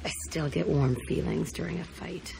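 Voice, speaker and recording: An actress playing an embittered, tough young ex-prisoner in a video game, blunt, terse and profane. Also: female voice, deep voice, moderate pace, gravelly, mellow, a little hiss or hum, rough recording. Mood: bitter, defensive. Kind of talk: video game dialogue acting.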